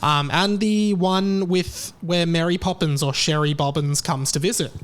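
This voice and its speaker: A man hosting a podcast, talking in a chatty, excitable way with lively jumps in his voice. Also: fairly high pitch, a little slow, smooth. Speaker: podcast host. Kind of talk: casual chat.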